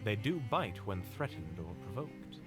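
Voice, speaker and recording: A man acting a brisk, eager expedition leader in an audio roleplay, speaking with measured command. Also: lowish voice, sing-song. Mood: matter-of-fact. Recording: music bed under the voice. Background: background music, strings.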